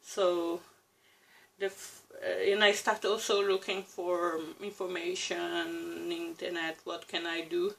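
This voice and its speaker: A woman's voice: on the low side, flat delivery, vocal fry. A Brazilian woman in her thirties with a Brazilian Portuguese accent, talking reflectively to camera in a personal vlog. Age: 30s. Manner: reflective, with pauses and restarts, soft laughs. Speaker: vlogger. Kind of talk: casual personal talk to camera.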